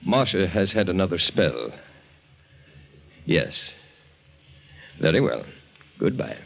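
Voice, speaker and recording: An actor playing an evasive, idle gambler husband, hesitant and defensive, in a 1940s radio drama. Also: male voice, sits low, very animated, takes it slowly, creaky, full character voice. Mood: guarded unease. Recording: old 1940s radio broadcast.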